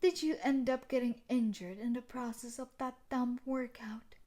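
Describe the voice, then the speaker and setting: A woman's voice: a little high, fairly even intonation, moderate pace, mellow, lightly acted. A woman playing a tough, sadistic, possessive bully and martial artist in a roleplay audio drama, taunting and condescending. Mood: condescending, commanding.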